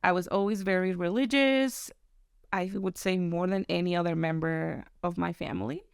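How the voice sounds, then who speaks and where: Mexican woman guest chatting, low smooth voice w some fry, dry close sound. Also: no room sound. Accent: Mexican Spanish. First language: Spanish. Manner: animated, laughs often, quick asides. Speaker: podcast guest. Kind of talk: casual interview storytelling.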